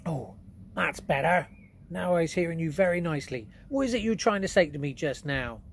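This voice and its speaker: A man voicing a big, gentle male giant who mangles his grammar and invents words, in a storybook reading. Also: lively intonation, fairly quick, breathy edge. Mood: eager. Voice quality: booming, mangles words playfully. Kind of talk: audiobook character voice.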